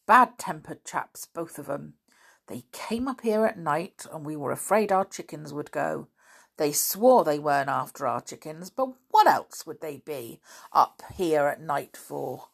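A woman reading a storybook and voicing a forthright farm wife who talks indignantly and loudly. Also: sits low, animated, measured pace, vocal fry, husky, full character voice. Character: gossipy, blunt farm wife.

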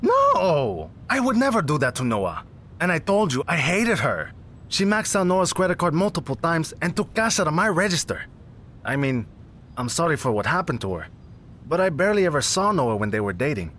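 A man's voice: on the high side, normal speed, slight background noise. A man playing a friendly, dramatic young cafe owner, a loyal best friend, in an audio drama. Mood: exasperated, venting. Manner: expressive, teasing, emphatic. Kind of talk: naturalistic audio drama acting.

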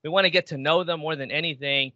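Male podcast host: easygoing, bright and a bit high, dry lo-fi mic. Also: steady tone, fast, no echo. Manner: laid-back, many restarts. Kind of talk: casual chat. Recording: dull, dry lo-fi sound.

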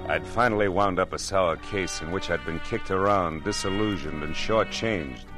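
An actor playing a wry, world-weary private detective in punchy 1940s radio noir style. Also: male voice, deep voice, moderate pace, over loud music, natural voice. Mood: wry, brooding.

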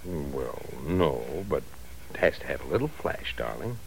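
A man's voice: rumbling low, very animated, measured pace, creaky, exaggerated voice, rough recording. A man playing an ambitious young husband in an old radio drama, speaking tenderly and coaxingly to his wife. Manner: tender, coaxing, drops to a whisper. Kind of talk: 1940s radio acting. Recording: faint hiss of an old radio recording.

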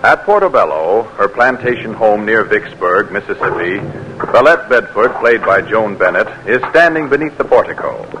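A man's voice: fairly low pitch, expressive, normal speed, noisy background. A man announcing and narrating a 1940s radio drama in a formal, stately way. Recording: announcer over music.

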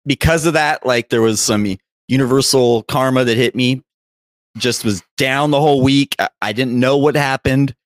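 A man's voice: mid-range voice, normal speed, no room sound. A man co-hosting a podcast, trading casual, joking banter with friends. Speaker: podcast co-host.

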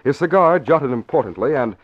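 A man's voice: medium pitch, animated, fairly quick. Male 1940s radio narrator, declamatory and emphatic, widely swinging intonation, dulled old broadcast sound. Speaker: announcer and narrator. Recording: dull, faintly noisy old radio broadcast.

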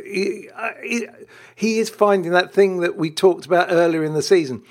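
A man co-hosting a football podcast, talking with chatty enthusiasm and frequent laughter. His voice sits fairly high for a man. He often stumbles over and repeats words as he works out his point. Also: fairly even intonation, normal speed. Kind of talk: casual chat.

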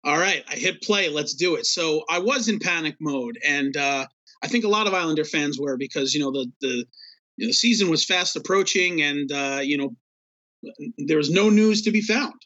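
A man's voice: on the high side, lively intonation, moderate pace, sharp tone, no echo, highs cut off. A man co-hosting a hockey podcast, chatting casually with lots of fillers and a laid-back, rambling manner. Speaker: podcast co-host.

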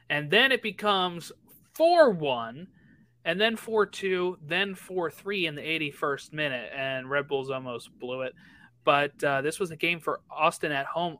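A man co-hosting a soccer talk show, running through the week's scores one after another. His voice is bright and forceful, and it sits a little high for a man. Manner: rattles through results quickly. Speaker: podcast co-host. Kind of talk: casual sports chat.

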